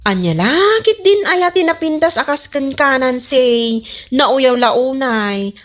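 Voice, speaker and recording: Actress as outspoken young Ilocano stallkeeper, radio comedy drama; high pitch, very lively intonation. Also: female voice, slow pace. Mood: frank, teasing. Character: frank, teasing young woman running a snack stall.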